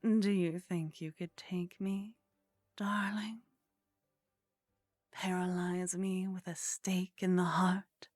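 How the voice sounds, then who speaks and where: A woman playing a haughty, flirtatious vampire in an intimate ASMR roleplay, speaking softly and close to the microphone with a teasing, condescending manner that often drops toward a whisper. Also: lowish voice, flat delivery, slow pace, pauses often, no echo. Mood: taunting, amused. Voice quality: soft, breathy.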